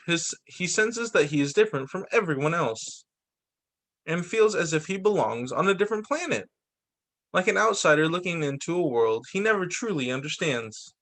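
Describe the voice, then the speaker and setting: A man reads aloud as a teacher at children's story time, in a fairly high-pitched voice with very lively, swooping intonation. He delivers the text expressively and slips in brief conversational asides to his young listeners. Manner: expressive reading with short spoken asides. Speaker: teacher reading picture books to pupils.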